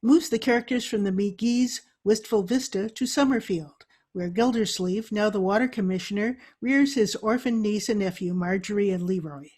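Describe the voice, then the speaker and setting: A woman's voice: medium pitch, normal speed, few pauses, darkish tone, breathy edge. A woman reading a scripted old-time radio introduction as the show's announcer.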